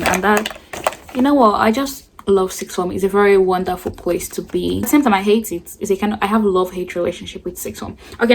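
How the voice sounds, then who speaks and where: A woman's voice: medium pitch, slight background noise. A teenage girl chatting in a rambling, casual way while doing her makeup for a get-ready-with-me vlog. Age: late teens. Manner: rambling, chatty, self-described yapping. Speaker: beauty vlogger.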